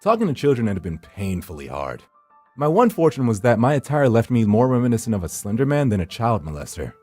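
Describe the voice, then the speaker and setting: A man reading a visual novel story as dramatic narration, in a brooding, dry and deliberate manner. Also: medium pitch, wildly swooping pitch, darkish tone. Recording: music behind the voice. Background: background music.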